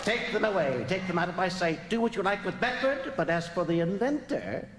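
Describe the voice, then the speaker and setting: A man acting in a radio drama as a serene, haughty alien ruler of the moon, deliberate and imperious, with coolly menacing undertones. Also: sits high, lively intonation, fairly bright tone, in a large hall, slight background noise. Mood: angry command. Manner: slow, deliberate, imperious, with musing hums and chuckles. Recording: music behind the voice.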